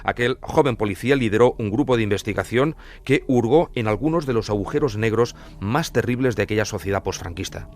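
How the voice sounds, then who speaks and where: A man's voice: lowish voice, brisk. A man hosting a podcast with scripted documentary narration.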